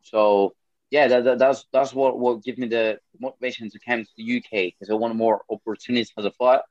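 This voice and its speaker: A man's voice: medium pitch, fairly even intonation, fairly quick, darkish tone, no echo, lo-fi sound. A young man from Brazil with a Brazilian Portuguese accent, speaking English as a second language in a casual interview, often repeating words and swearing casually. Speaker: MMA fighter.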